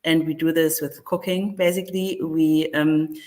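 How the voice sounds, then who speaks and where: Low-voiced upbeat woman. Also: steady tone, normal speed, a little mellow. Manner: friendly, upbeat, hesitant fillers. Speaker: project leader at a nonprofit. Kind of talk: panel self-introduction.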